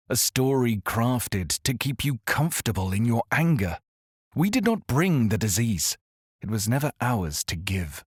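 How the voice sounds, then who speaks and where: A man tells a story in the first person with a husky, low-pitched voice that rises and falls widely. His delivery is grave, measured and accusatory. The sound is dry and close. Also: no room sound. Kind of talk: scripted dramatic narration.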